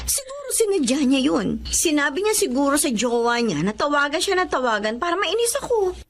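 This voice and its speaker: A woman's voice: high-pitched, brisk, a little hiss or hum. An actress playing an exasperated single career woman in a life-story audio drama, mixing Tagalog and English expressively. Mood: gossipy, sure. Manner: expressive Taglish delivery with muttered asides.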